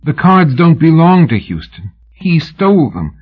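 A man's voice: on the high side, sing-song, unhurried, slightly rough, narrow, tinny audio, voice pushed up, exaggerated voice. A man reading an audiobook, voicing a frightened young British woman who pleads desperately and breathlessly. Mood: pleading. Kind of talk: audiobook character voice.

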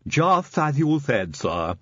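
A man voicing an eerie, dutiful male servant for an audiobook, halting and obsequious in manner. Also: fairly high pitch, sing-song, dry recording, dull audio, pitched above the performer's own voice, full character voice. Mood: deferential. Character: deferential hooded Igor, eerie dutiful servant.

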